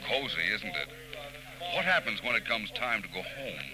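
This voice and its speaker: A suave, gallant private detective from 1940s radio, low in pitch and wryly self-assured. His phrasing moves freely up and down, with steady noise from an aged recording. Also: normal speed. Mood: suave, flirtatious. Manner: urbane, gallant, wryly conceited. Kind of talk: 1940s radio drama acting. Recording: noisy old 1940s radio tape.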